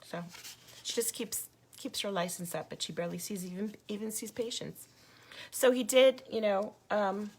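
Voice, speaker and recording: Woman with a worn-out raspy voice doing a live chat with viewers, crackly and gravelly, gets heated. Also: medium pitch. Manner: indignant, gets louder when riled up. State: losing her voice, hoarse. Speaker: activist livestream host. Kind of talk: casual livestream talk to viewers.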